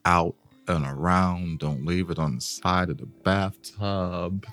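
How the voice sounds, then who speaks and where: A man's voice: low-pitched, fairly even intonation, slow pace, a bit bright. A man co-hosting a podcast, bantering casually and teasing with laid-back slang. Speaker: podcast co-host.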